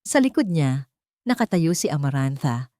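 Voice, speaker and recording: A Filipino woman narrates an audio drama in a low register. Her tone is dark and mellow, and she delivers the scripted story dramatically. The recording is dry and close, with no room sound. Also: big pitch swings, normal speed, dry recording.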